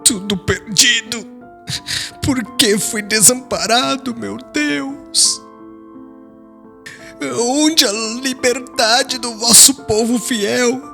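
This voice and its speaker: A man voicing a despairing old father in an audiobook, trembling and imploring in a slow, dramatic way. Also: extremely high pitch, gravelly, pushed and bright, pitched above the performer's own voice, exaggerated voice. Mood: desperate pleading. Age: older. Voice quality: trembling, strained voice.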